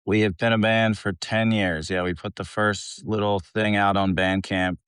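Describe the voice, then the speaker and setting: Deep, smooth-voiced man chatting casually. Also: level tone, normal speed, fairly bright tone, dry recording. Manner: laid-back, sweary, slangy. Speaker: interview guest, band vocalist. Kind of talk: casual chat.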